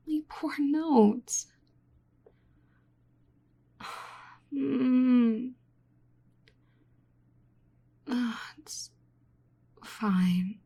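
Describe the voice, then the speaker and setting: A woman playing a nerdy, flustered slime-girl researcher in an ASMR roleplay, speaking softly with fussy, rambling, stammering exasperation. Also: a little high, breathy edge, full character voice. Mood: dejected.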